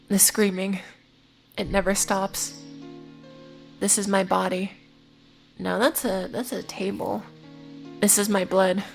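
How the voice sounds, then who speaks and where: A woman delivers scripted video essay narration with little rise and fall in her medium-pitched voice. She keeps it informal, slipping in wry asides as she recaps, and music plays underneath.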